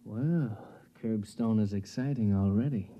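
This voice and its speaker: A man's voice: fairly low pitch, unhurried, warm tone, lo-fi sound. An actor in a 1950s radio drama playing a slick, bored young man with an oily, blasé manner. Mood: leering, curt. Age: young adult. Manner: smooth-talking, blasé. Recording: vintage radio recording.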